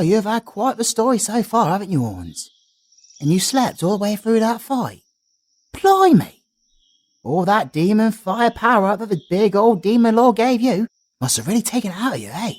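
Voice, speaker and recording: A British man voicing a cheerful, chatty male bard in an audio roleplay, doting and slangy as he talks. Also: sits high.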